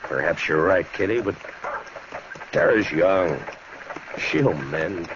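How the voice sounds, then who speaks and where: An American actor playing a stern, weary frontier marshal in a 1950s radio western, terse and measured in his talk. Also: low-pitched, lively intonation. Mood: subdued, weary. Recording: muffled, hissy old radio recording.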